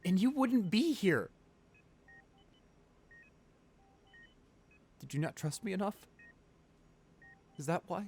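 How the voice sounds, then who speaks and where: A man's voice: sits high, very animated, a little mellow. A man playing a devoted, pleading rich lover, noisy background. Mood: anguished. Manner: emotional, halting, intimate, pleading. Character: wealthy devoted client pleading at his comatose lover's bedside. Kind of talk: ASMR boyfriend roleplay, dramatic monologue.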